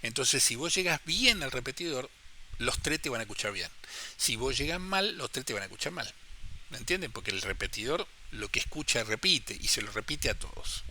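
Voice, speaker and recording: A man with an Argentine Spanish accent walks newcomers through amateur radio etiquette in a relaxed, patient way. His voice is very bright, with a middle pitch. A faint background hiss sits under the recording. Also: brisk. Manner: explains step by step, asks listeners if they follow. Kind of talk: casual explanatory tutorial.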